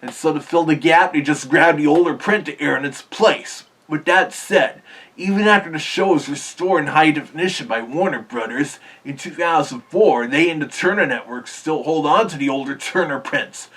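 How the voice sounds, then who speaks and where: A man's voice: on the high side, moderate pace, a bit bright, slightly rough, far from the mic. A man hosting a cartoon history video, earnestly explaining in scripted narration.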